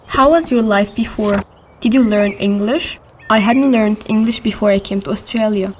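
A woman's voice: mid-range voice, moderate pace, a little hiss or hum. An eighteen-year-old woman leading a conversation, speaking in a friendly, careful way. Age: 10s. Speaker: interviewer.

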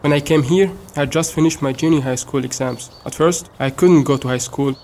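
A young man from Syria, speaking in an earnest, measured way as the one being asked questions. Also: fairly high pitch, gravelly, darkish tone, slight background noise. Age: young adult. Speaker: interviewee.

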